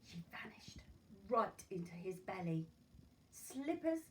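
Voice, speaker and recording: Slow, very expressive woman storyteller. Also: mid-range voice. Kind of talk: expressive children's story narration. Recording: voice far from mic, noisy room.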